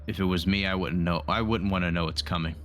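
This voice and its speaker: A man's voice: sits low, steady tone, quick pace, a bit bright. A man playing a tabletop game, talking things over casually with the group.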